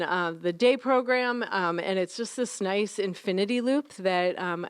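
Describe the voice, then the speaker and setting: A woman's voice: mid-range voice, clean tone, dry recording, studio quality. A woman, a dean of students, introducing herself earnestly at a school panel. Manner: earnest, enthusiastic about students. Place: school dorm common room.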